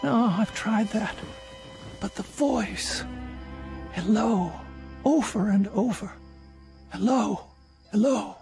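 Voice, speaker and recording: A woman's voice: mid-range voice, takes it slowly, creaky, pauses often, mellow, soft background music. A woman playing an elderly, solitary invalid in a radio drama, agitated, insistent and pleading. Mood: anxious.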